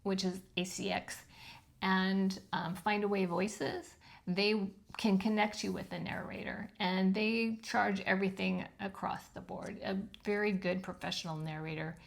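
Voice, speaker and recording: A woman over fifty with a high-pitched voice and a gravelly fry at the ends of phrases. She talks in a frank, chatty way as she teaches, dropping ums along the way. Her pitch sits in the middle range for a woman. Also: steady tone, measured pace. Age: 50s or older. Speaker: channel host teaching self-publishing. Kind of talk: casual instructional talk to camera.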